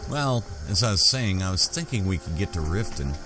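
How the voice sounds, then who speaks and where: A man role-playing his character while playing a fantasy video game, musing and halting as he talks. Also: sits low, expressive. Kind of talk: slow in-character game roleplay commentary. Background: game music and ambience.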